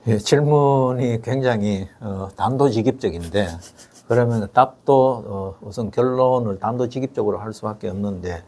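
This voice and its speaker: An older man, explaining education matters in a measured interview style with frequent fillers and rhetorical questions. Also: lowish voice, a little mellow. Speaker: education expert guest.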